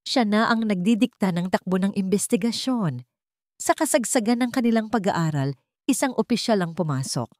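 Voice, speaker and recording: A woman's voice: mid-range voice, expressive, normal speed, a little mellow, no echo, studio quality. A woman narrating a scripted dramatic story.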